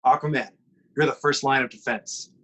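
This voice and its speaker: Man playing a husky, commanding superhero leader. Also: medium pitch, normal speed, sharp tone. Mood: resolute. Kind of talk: amateur superhero script table read.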